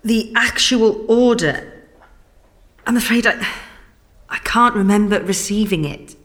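An actress playing a halting, apologetic witness giving testimony at an inquiry in an audio drama. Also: female voice, medium pitch, a bit bright, lightly acted. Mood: uneasy, apologetic.

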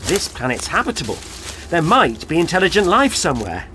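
An actor playing a curious, sceptical young space traveller in an audio drama, with a wry, questioning manner. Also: male voice, a little high, wildly swooping pitch, a little fast, noisy background. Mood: wry, matter-of-fact.

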